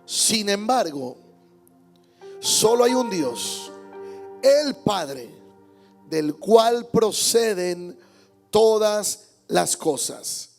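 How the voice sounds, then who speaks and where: A man's voice: sits high, expressive, drawn-out words, vocal fry, pauses often, faint music behind. A male pastor preaching a Sunday sermon in church, emphatic, repeating key words and calling out amens. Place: church service.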